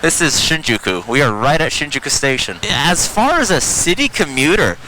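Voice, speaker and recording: American man, chatty motorbike vlog, bright voice, slightly high, faint engine noise behind. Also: very animated, moderate pace, poor audio. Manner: chatty, rambling, self-deprecating asides. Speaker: motorcycle vlogger. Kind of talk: casual ride-along commentary. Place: riding a motorcycle through city traffic. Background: traffic and engine noise.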